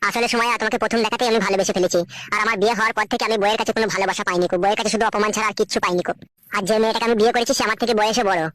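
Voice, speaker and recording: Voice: medium pitch, fairly even intonation, very fast, a bit bright, no room sound, lightly acted. An actor voicing a lovesick young married man in a comic cartoon dub, making earnest, sentimental declarations.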